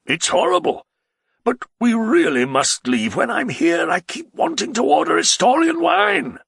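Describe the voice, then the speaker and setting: A man's voice: sits high, huge pitch swings, gravelly, breathy edge, dry recording, studio quality, pitched above the performer's own voice, heavily acted. A man playing a blustering, brooding nobleman. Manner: loud, drawn-out, exclamatory delivery, sometimes shouting. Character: blustering, melancholy middle-aged male nobleman.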